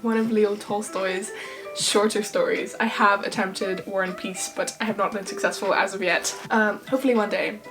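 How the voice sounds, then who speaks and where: A young woman from Ireland with an Irish accent that drifts toward English, chatting casually and recommending books as a vlogger, with quick asides and self-deprecating jokes. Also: mid-range voice, fairly even intonation, poor audio. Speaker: book vlogger. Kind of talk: casual book recommendations to camera. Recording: music playing underneath.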